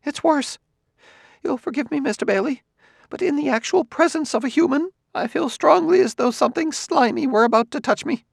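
A man voicing a nervous, fussy aging male sociologist who shrinks from company, in a high, hesitant way. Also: fairly quick, creaky, dry recording, studio quality. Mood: uneasy.

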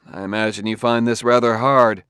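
A man's voice: medium pitch, fairly even intonation, moderate pace, a bit bright, smooth, no echo, studio quality, close to an everyday voice. A man voicing a persistent male detective who presses his questions firmly.

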